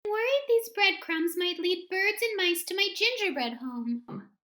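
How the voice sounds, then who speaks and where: A woman voicing a kind, patient good witch who asks politely and pleads in a high voice. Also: lively intonation, unhurried, sharp tone, heavily acted. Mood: pleading. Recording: roomy echo.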